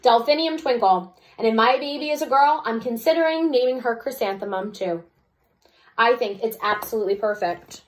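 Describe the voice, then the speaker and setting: A woman voicing a kind, stately music teacher in a storybook, with deliberate, emphatic delivery. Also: fairly high pitch, close to an everyday voice, low-quality recording. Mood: gently proud.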